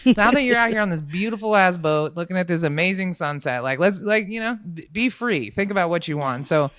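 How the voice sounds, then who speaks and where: A woman hosting a podcast, telling stories in animated casual chat and swearing freely. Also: fairly low pitch, brisk, no echo. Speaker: podcast host.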